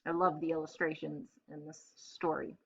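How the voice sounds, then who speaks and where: A woman's voice: deep voice, moderate pace, vocal fry, lo-fi sound, slight background noise. A woman reading a children's story aloud expressively and acting out the characters. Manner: animated, acts out characters. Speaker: read-aloud storyteller, teacher.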